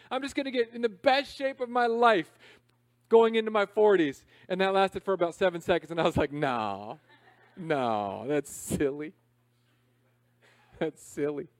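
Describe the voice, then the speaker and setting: A man in his thirties preaching a sermon in a conversational, self-deprecating way, swinging between loud emphasis and quiet asides. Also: high-pitched, dry recording, clean studio sound. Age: 30s. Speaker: pastor.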